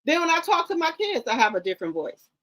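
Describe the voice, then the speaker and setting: Woman, middle-aged, high-pitched, blunt, lecturing, slangy host chat. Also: sing-song, quick pace, a bit bright, rough recording. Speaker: commentary host. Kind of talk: casual livestream commentary.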